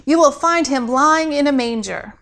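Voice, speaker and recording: Woman voicing a high, earnest young novice angel. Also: moderate pace, fairly bright tone. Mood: proclaiming. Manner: hesitant, earnest. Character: young non-binary novice angel, unsure but earnest.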